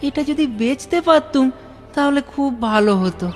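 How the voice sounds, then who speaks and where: A woman's voice: high-pitched, animated, unhurried, darkish tone, soft background music. A woman voicing a naive, honest young village boy in a cartoon, exclaiming and repeating words. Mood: friendly.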